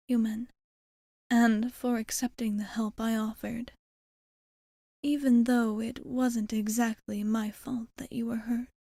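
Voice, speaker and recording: A woman playing a gentle, protective female vampire in an ASMR roleplay, speaking softly and breathily with formal, old-fashioned courtesy. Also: on the high side, dry recording, clean studio sound. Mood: tender, protective. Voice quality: soft, breathy, often whispering.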